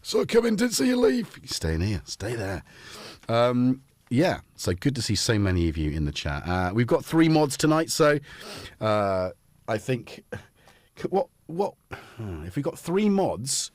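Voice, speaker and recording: A man's voice: medium pitch, wildly swooping pitch, unhurried, dry recording. A man hosting a casual livestream from his home music studio, rambling and joking and often cutting himself off mid-sentence. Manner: rambling, self-interrupting, jokey. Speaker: livestream host.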